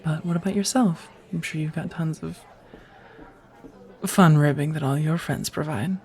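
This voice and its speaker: A woman's voice: sits low, normal speed. A woman playing a friendly, teasing firefighter on a date in an intimate, casual ASMR romance roleplay, chatty and reassuring. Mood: friendly, curious. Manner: chatty, playfully wry, reassuring, sprinkled with soft laughs.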